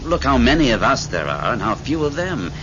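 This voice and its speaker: A man playing a worldly-wise, theatrical ghost mentor in an old-time radio drama, with drawn-out phrasing. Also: mid-range voice, big pitch swings, natural voice. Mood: wry, explaining. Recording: muffled old radio recording, loud vehicle noise.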